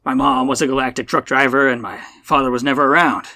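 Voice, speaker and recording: A man's voice: medium pitch, fairly even intonation, fast, gravelly, pushed and bright, dry recording. A man voicing a blunt, naive spacefarer in a comic space story, with a plain-spoken manner. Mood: earnest. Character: Buck, blunt, naive young spacefarer from poor family.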